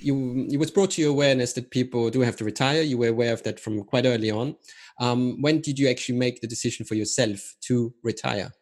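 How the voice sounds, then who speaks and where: A man conducting an interview, asking measured, plain questions. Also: mid-range voice, a little mellow.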